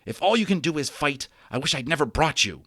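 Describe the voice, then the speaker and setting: A man's voice: on the high side, animated, brisk, sharp tone, husky, no room sound, clean studio sound, pitched above the performer's own voice, heavily acted. A man voicing an earnest young boy from a children's storybook, pleading and remonstrating in an exasperated way.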